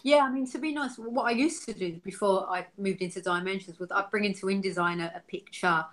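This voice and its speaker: A woman's voice: mid-range voice, fairly quick, fairly bright tone. A woman from the UK, a designer, talking frankly in a casual interview, full of fillers and restarts. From United Kingdom. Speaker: designer interviewed as software user.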